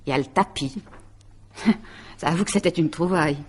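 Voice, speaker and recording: An actress playing a calculating, possessive middle-aged woman in a radio mystery drama, speaking in a taunting, deliberate, self-satisfied way. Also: lowish voice, husky. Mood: pleased with herself.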